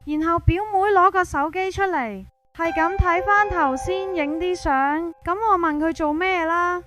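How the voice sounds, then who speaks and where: A woman's voice: very high voice, unhurried. A woman with a Hong Kong Cantonese accent narrating a scripted first-person ghost story. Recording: prominent music behind.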